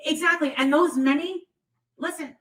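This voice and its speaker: Distant mic, woman, bright high voice, gossipy, blunt, profane, casual livestream hosting. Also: steady tone, normal speed.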